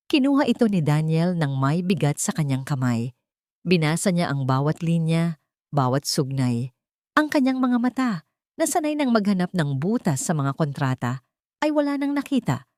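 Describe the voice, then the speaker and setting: A low-voiced woman narrates a scripted romantic drama. Her delivery is measured and dramatic, broken into short storytelling phrases. The recording is close and dry, with no room around the voice. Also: darkish tone, dry recording.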